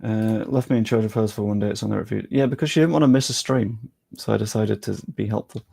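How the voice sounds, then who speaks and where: A man's voice: on the low side, fast talker, gravelly, mellow, no echo. A young man live-streaming, chatting casually with his viewers and thinking aloud as he works on screen.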